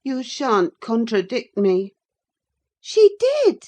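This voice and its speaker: A woman's voice: high-pitched, lively intonation, a little slow, warm tone, pitched above the performer's own voice. A woman voicing an impetuous, hot-tempered but tender-hearted young girl, with an emotional delivery, in a storybook reading. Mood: enraged. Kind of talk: dramatised classic novel reading.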